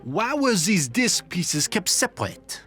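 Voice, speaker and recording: A woman's voice: lowish voice, big pitch swings, slow, fairly bright tone, soft background music, exaggerated voice. A woman playing a skeptical, questioning companion in a radio drama, inquisitive and pressing. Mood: puzzled.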